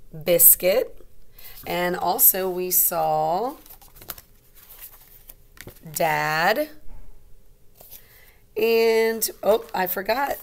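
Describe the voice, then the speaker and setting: A woman teacher reading a storybook aloud to young children, expressively, pausing to explain and ask simple questions. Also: on the low side, vocal fry.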